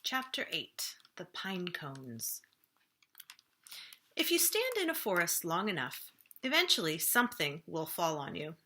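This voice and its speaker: A woman reading a children's storybook aloud as a librarian would, expressively, with dramatic pauses and slower, hushed phrasing for suspense. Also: on the low side, very animated, a little hiss or hum.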